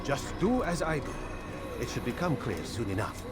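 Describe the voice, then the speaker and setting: An actor playing an earnest, urgent assassin comrade in a video game, giving brisk, formal instructions. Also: male voice, medium pitch, sing-song, lightly acted. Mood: conspiratorial, instructing. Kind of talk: dramatic video game cutscene acting. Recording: loud music over the voice.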